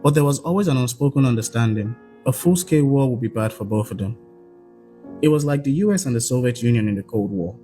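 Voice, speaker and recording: A man delivering scripted, dramatic documentary narration in a measured storytelling manner. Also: medium pitch, mellow. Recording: faint music behind the narration.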